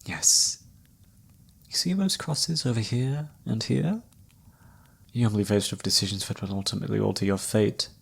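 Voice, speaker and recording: Man acting a low, soft, mysterious fortune-teller. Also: normal speed, creaky, long pauses, slightly rough. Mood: grave, gentle warning. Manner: soft-spoken, formal, courtly. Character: mysterious flirty palm reader, a wandering male fortune-teller. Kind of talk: ASMR roleplay.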